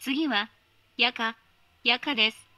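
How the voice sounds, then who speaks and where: A woman's recorded train announcement voice, polite and formal, spoken in measured phrases. Her tone is high and bright, and the sound is dry and close with no room around it. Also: no room sound.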